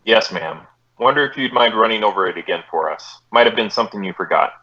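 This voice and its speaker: An actor playing a terse, businesslike police detective sergeant in a deadpan, clipped 1950s radio style. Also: male voice, on the low side, quick pace. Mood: businesslike, polite. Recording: dull vintage radio recording.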